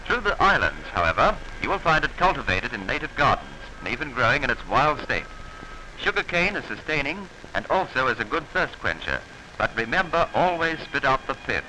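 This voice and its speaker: A man narrating a 1940s instructional film in a formal, instructive manner with measured diction, on an old film soundtrack. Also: mid-range voice, big pitch swings, pushed and bright, slight background noise, rough recording.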